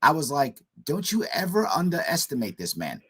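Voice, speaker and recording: Hoarse man hosting talk radio. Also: a little high, huge pitch swings, moderate pace, a bit bright. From United States. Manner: emphatic, repetitive political commentary. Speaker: radio talk show host.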